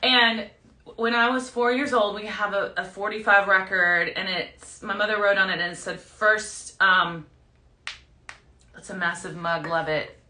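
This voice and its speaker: A middle-aged woman with a loud, strong voice, hosting a casual live chat as a singer who breaks into song. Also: medium pitch, steady tone, a little slow, roomy sound. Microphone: phone livestream.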